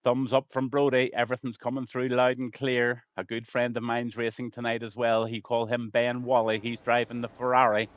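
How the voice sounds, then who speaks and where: A man's voice: medium pitch, monotone, brisk, hardly any pauses, no echo, muffled recording. A man with a Scouse accent commentating a sim race on a live stream, greeting viewers by name and letting his voice trail off at the ends of lines.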